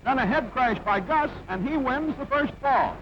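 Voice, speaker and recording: A man's voice: very high-pitched, expressive, moderate pace. A man giving punchy, present-tense play-by-play sports commentary in an old newsreel style. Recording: dull, very noisy old film soundtrack.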